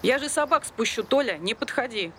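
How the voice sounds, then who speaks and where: A woman's voice: a little high, lively intonation, brisk, a bit bright, slight background noise. An actress playing a hostile, foul-mouthed, threatening woman with a scornful, abrasive manner in a stage play. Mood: threatening, furious.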